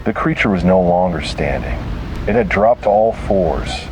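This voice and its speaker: A man narrating a scripted first-person horror story in short, deliberate, dramatic phrases. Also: low voice, animated, noisy background.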